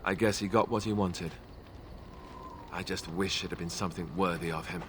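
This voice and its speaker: A man acts out a boyish young warrior from a fantasy video game. His voice sits low, with a wry, sardonic, chatty delivery. Clear background noise runs under the dialogue. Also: fairly even intonation, moderate pace, lightly acted. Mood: solemn. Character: young male warrior, wry, boyish, self-deprecating comrade.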